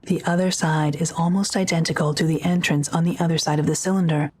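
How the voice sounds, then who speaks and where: Female narrator for sci-fi audio drama; deep, slightly rough voice, scripted scene description. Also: steady tone, quick pace, darkish tone.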